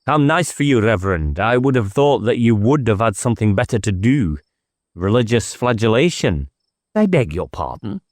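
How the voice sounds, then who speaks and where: A man's voice: mid-range voice, a little mellow, no echo, clean studio sound, lightly acted. A man voicing a blunt, impatient police inspector in an audiobook, questioning in a curt, sarcastic way. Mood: sardonic.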